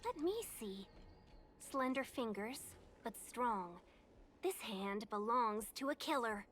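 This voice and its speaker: A woman's voice: on the high side, expressive, takes it slowly, a bit bright, soft background music. A woman playing a polite, cautious fox-woman civil servant in a video game, with an articulate, genteel manner. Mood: composed, instructive. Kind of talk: scripted game voice acting.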